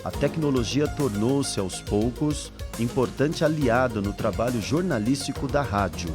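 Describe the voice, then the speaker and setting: A man's voice: medium pitch, expressive, measured pace. A man with a Brazilian accent reading scripted documentary narration. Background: instrumental music.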